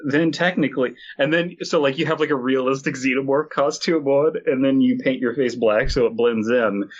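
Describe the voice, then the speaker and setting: A man's voice: medium pitch, moderate pace. Joking man on a lo-fi podcast. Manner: joking, rambling with many fillers. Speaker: podcast co-host. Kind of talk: casual comedic chat.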